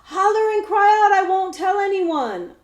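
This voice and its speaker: A woman reading a children's storybook, voicing a quick-witted, bold runaway boy with an urgent way of talking. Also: extremely high pitch, expressive, voice pushed up. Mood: urgent, pleading. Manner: urgent, commanding. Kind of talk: children's storybook read-aloud. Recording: echoey room.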